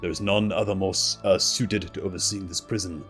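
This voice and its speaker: A man voicing a stern, commanding immortal ancient from a fantasy game in formal, solemn, measured declarations. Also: deep voice, fairly even intonation, fairly bright tone. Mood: calm, commanding. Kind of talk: dramatic reading of fantasy game dialogue. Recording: music under the voice.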